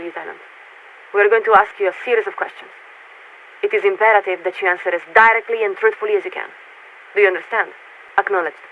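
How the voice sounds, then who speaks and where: An actress playing a formal, detached warship officer who speaks for a committee in clipped, measured phrases through a radio filter in a video game. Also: female voice, medium pitch. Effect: radio transmission filter. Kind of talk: scripted video game voice acting. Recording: tinny, narrow-band radio with static.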